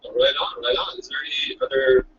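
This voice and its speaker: A man's voice: mid-range voice, level tone, brisk, a bit bright. A man hosting a casual podcast chat over a call, laid-back and jokey, swearing casually. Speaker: podcast host. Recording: distant lo-fi call audio with faint hiss.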